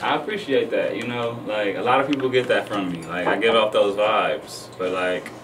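A young man with a fairly low voice talks casually as a music guest. He sounds set back from the microphone in a roomy studio, and there is light noise behind him. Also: moderate pace, a bit bright, distant mic. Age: young adult. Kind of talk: casual interview chat. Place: small radio studio, indoors. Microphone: studio microphone on boom arm. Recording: roomy studio, noisy, off mic.

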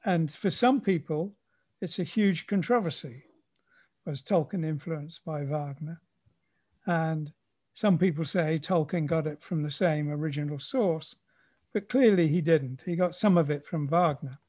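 Guy hosting a relaxed chat, talks really slowly, voice a bit on the high side, thoughtful. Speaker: conversation host. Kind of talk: casual conversation.

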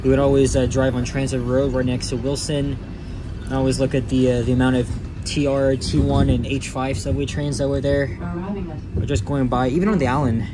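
A man, casually narrating a subway ride in a relaxed, halting way with many fillers, recorded inside a moving train. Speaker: transit vlogger. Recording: loud subway train rumble behind the voice.

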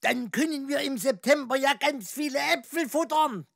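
A woman's voice: sits high, moderate pace, creaky, no echo, studio quality. A woman voicing a cheeky, grumbling little male earthworm with childish, exclaiming delivery in a children's educational cartoon. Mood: enthusiastic.

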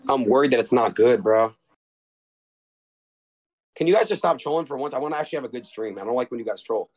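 A man's voice: medium pitch, lively intonation, fast talker. A young man in his twenties livestreaming from a bedroom, talking restlessly to his chat and friends with lots of swearing. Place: bedroom in a house.

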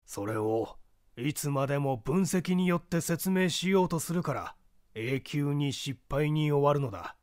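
A man's voice: on the high side, animated, measured pace, darkish tone, no room sound, studio quality. A man playing an emphatic lecturing professor, widely swooping delivery. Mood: emphatic, assertive. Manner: didactic, declamatory, emphatic. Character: opinionated male professor of forensic medicine, lecturing, emphatic. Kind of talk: dramatic audiobook reading of mystery fiction.

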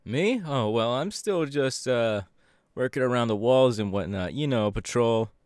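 Upbeat young male hero played by a man for anime audio roleplay: animated pitch swings, casual hesitant delivery, dry close sound. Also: a little slow, clear, resonant tone, no room sound, close to an everyday voice. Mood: friendly, chatty. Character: upbeat, easygoing young male superhero with electric powers. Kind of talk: audio roleplay, chatty anime character acting.

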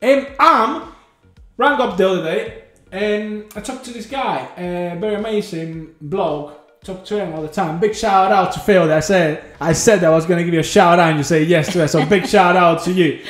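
Male trucker host, high voice, animated and bursty delivery, echoey room with faint music. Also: moderate pace. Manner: animated, talks in bursts, acts out dialogue. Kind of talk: casual chat.

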